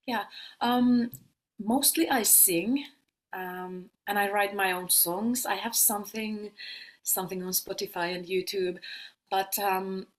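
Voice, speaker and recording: A woman from Finland, likely in her thirties, a yoga teacher and sound healer answering interview questions casually, laughing often and pausing with fillers. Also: mid-range voice, steady tone.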